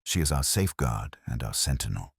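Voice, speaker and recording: A man voicing a cool, precise, commanding detective in a measured, deliberate audiobook performance. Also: very deep voice, sing-song, creaky, slightly rough, dry recording, clean studio sound, voice pushed down, heavily acted.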